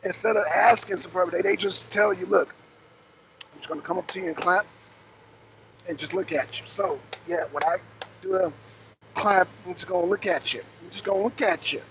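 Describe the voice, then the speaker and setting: Raspy high-voiced man ranting. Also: a little fast, long pauses, lo-fi sound, background noise. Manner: blunt and profane, calling out rivals. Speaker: host. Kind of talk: casual rant to a live audience. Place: in his truck. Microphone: phone camera.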